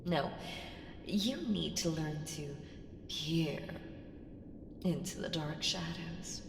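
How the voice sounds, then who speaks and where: A woman's voice: deep voice, takes it slowly. A woman voicing an ancient evil entity in an ASMR roleplay, seductive, sly and taunting, with ominous teasing and whispered phrases. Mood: instructing, foreboding. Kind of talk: ASMR roleplay audio drama. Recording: reverberant hall effect, faint noise.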